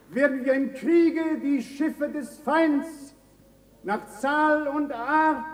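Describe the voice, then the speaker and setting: An actor playing a pompous official who proclaims grandly, pausing for effect, in a 1960s radio drama. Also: male voice, very high voice, darkish tone. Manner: pompous, declamatory, halting for effect. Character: pompous male Venetian official proclaiming to the senate. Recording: long reverberant echo of a hall.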